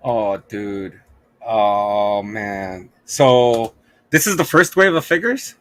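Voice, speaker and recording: A man's voice: lowish voice, takes it slowly, a bit bright. A man co-hosting a podcast in laid-back casual chat, slangy and profane. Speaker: podcast co-host.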